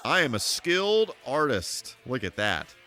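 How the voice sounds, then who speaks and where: A man's voice: medium pitch, sing-song, measured pace, bright, forceful tone. An American man giving casual, rambling, good-humored commentary on a gaming livestream. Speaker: live streamer. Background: game music.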